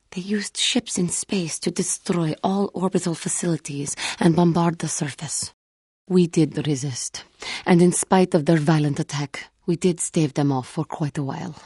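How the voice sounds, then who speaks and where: Game role: haunted, self-doubting alien warrior woman, low and husky, earnest formal delivery with simmering temper. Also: dark tone, no echo, clean studio sound. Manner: formal, measured, earnest speech with guarded intensity. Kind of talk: video game character dialogue.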